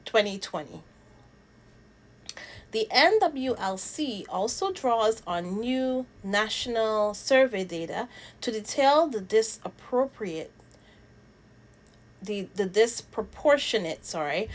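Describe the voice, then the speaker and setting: A woman from Barbados hosting a casual news commentary vlog, talking and reading aloud in a halting way, repeating words for emphasis. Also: fairly high pitch. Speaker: host of a royal news commentary channel.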